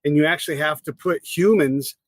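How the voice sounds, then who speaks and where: A man's voice: fairly high pitch, fairly quick, no echo. Casual male podcast host, dry sound. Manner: casual, energetic, conversational. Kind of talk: podcast conversation.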